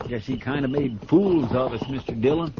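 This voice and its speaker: A man's voice: mid-range voice, normal speed, noisy background, close to an everyday voice. An American man playing an earnest, deferential frontier deputy sidekick with a folksy drawl in a 1950s radio western. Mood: weary. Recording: dull old radio recording, music behind.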